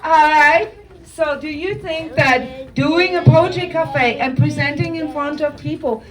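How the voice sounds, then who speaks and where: A woman's voice: high-pitched, measured pace, over music. A woman speaking as a teacher and host to a live audience of students, with emphatic, encouraging praise. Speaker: teacher hosting a student event. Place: indoor school event, audience present. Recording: distant mic in room with audience.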